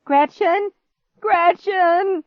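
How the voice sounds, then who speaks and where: A woman voicing an excitable, easily spooked young boy in an audiobook, with urgent delivery. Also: normal speed, dry recording, dull audio. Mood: frantic. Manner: frantic, high, urgent calls. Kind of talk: audiobook character dialogue.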